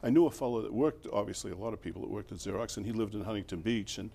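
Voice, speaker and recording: An older man with a Boston accent, a former mayor recalling his life in a relaxed, rambling interview with fillers and chuckles. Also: on the low side, very fast, creaky, slightly rough.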